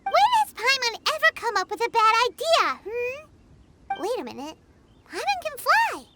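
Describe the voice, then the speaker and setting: A woman voicing a small floating fairy companion who is excitable, bossy and refers to herself in the third person. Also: very high voice, measured pace, very noisy, heavily acted. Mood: chirpy. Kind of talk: video game voice acting. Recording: game audio with loud music.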